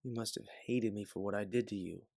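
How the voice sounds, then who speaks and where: A man's voice: fairly low pitch, fairly even intonation, fairly quick, creaky, a little mellow, dry recording, studio quality. A man playing an emotional bounty hunter in their late twenties in an audio drama, with an intense, pleading manner. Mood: hurt. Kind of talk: dramatic audio fiction acting.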